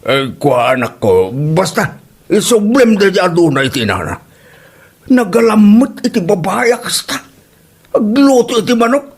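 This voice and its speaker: A man's voice: high voice, very animated, a little slow, slightly rough, exaggerated voice. A man playing an exasperated, fault-finding old father-in-law in a comedy radio drama, grumbling theatrically with an Ilocano accent. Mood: indignant.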